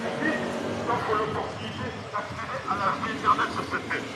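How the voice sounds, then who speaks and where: A man's voice: high voice, a little fast. A man preaching fervently through a megaphone, urging passers-by directly with emphatic, repeated phrases. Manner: exhortatory, emphatic, repetitive, addressing passers-by directly. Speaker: street evangelist. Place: outdoors on a street. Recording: noisy outdoor street recording with vehicles.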